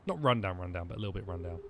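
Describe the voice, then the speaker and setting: A man's voice: low voice, animated, fast talker, creaky, a bit bright, a little hiss or hum. A young man streaming a game, chatting and thinking aloud with lots of ums and restarts. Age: young adult. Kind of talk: gaming commentary.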